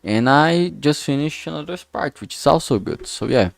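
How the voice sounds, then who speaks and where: A man thinking aloud in a halting way, chatting casually on a solo livestream as he works. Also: a little high, lively intonation, creaky, no room sound. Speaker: streamer making a visual novel. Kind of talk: casual solo livestream chat while working.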